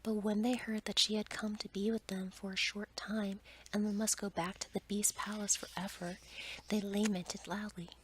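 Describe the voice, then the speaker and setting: A woman narrating a bedtime story as ASMR in a breathy whisper. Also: medium pitch, level tone, moderate pace, slight background noise.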